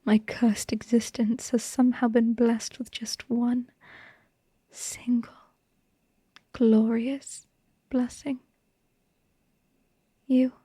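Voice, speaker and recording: Woman voicing a loving vampire wife, melancholy, intimate ASMR roleplay, breathy hush, even pitch, close dry sound. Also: no room sound. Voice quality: breathy, hushed whisper. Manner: slow, tender, halting delivery with lingering pauses. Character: devoted, melancholy female vampire wife, tender and haunted.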